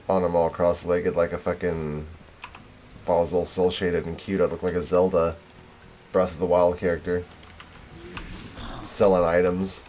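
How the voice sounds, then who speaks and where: A man's voice: sits low, darkish tone, a little hiss or hum. A man doing casual livestream gaming commentary, rambling and sweary with a self-deprecating manner. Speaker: game livestreamer.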